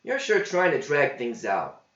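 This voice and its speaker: A man's voice: mid-range voice, wildly swooping pitch, a little slow, fairly bright tone, voice pushed up. A man voicing a brash, sassy young waitress in a verse story, with a dismissive manner. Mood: dismissive, teasing. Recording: roomy, slightly dull home recording.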